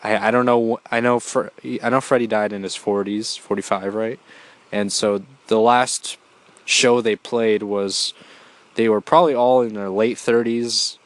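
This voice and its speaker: A man's voice: lowish voice, fairly even intonation, measured pace. A man hosting his own show, talking casually through a movie review while congested from a cold, rambling with lots of fillers and the odd swear. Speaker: podcast host.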